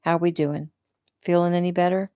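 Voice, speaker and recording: Woman voicing a soothing protective man, gentle, low, dry. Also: fairly even intonation, normal speed, no room sound, lightly acted. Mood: tender.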